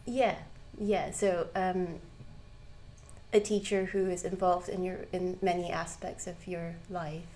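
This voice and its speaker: A woman from Malaysia, teaching a live Buddhist class and asking the group questions, pausing often with ums and restarts. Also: fairly low pitch, little pitch movement, warm tone, slight background noise. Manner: hesitant, many ums and restarts. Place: shrine room with a live class. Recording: roomy hall ambience.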